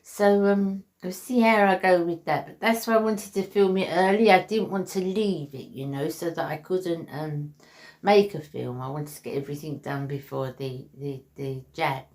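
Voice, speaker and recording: A woman's voice: lowish voice, normal speed, a little mellow. A woman, chatting earnestly to her viewers, with lots of ums and restarts. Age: 60s. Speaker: beauty vlogger. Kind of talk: heartfelt casual vlog chat. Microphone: basic camera mic.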